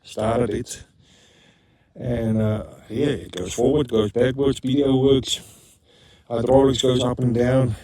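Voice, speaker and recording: A man's voice: medium pitch, steady tone, takes it slowly, warm tone. A South African man talking casually in a rambling home vlog, often stopping to correct himself. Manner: rambling, self-correcting, frequent ums. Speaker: vlogger. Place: rural homestead.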